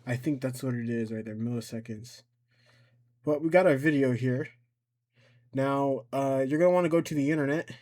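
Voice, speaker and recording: A man presenting an informal step-by-step software tutorial, rambling a little and thinking out loud as he goes. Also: medium pitch, normal speed. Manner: informal, rambling, thinks aloud and talks to himself. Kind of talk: casual screen-recorded software tutorial.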